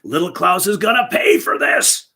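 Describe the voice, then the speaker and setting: A man voicing a greedy, brutal man in a fairy tale, speaking bluntly and gruffly. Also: medium pitch, big pitch swings, fairly quick, a bit bright, full character voice. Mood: vengeful.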